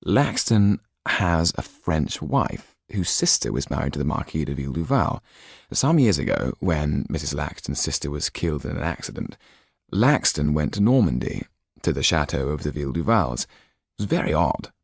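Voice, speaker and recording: Male reader as courteous audiobook gentleman, thoughtful friend; husky bass with some gravel, measured phrasing. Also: sing-song, no echo, clean studio sound, exaggerated voice. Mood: confiding, helpful. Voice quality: very deep, husky. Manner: genteel, measured, confiding. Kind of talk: audiobook character acting.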